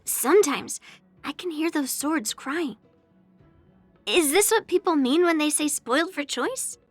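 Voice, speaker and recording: A young game swordswoman with a high, girlish voice. She is brash and earnest, stating things bluntly and matter-of-factly. The sound is dry and studio-close, with light music underneath. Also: lively intonation, measured pace, dry recording. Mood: grumbling. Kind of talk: scripted game character voice lines.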